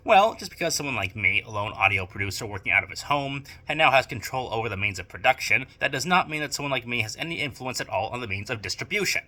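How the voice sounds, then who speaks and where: A man presents a scripted monologue in a very bright, sharp-edged voice at a middling pitch. His intonation is lively and varied. He phrases things deliberately and emphatically, like an essayist making an argument. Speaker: video essayist and amateur audio drama producer. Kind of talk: scripted monologue to camera or mic.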